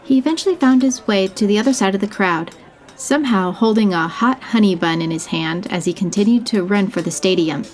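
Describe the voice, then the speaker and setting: A woman's voice: medium pitch, brisk, a little mellow. A woman reading scripted narration for a fantasy audio story.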